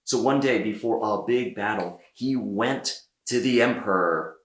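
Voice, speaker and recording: A man reading a children's story aloud as an expressive storyteller, with dramatic pauses. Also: fairly low pitch, echoey room. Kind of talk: animated children's read-aloud narration.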